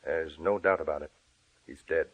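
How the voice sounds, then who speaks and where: Deep, husky, grave lawyer. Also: male voice, steady tone, narrow, tinny audio. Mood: grave, firm. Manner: grave, measured, authoritative. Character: businesslike lawyer, grave, matter-of-fact, in charge. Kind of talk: old-time radio drama acting.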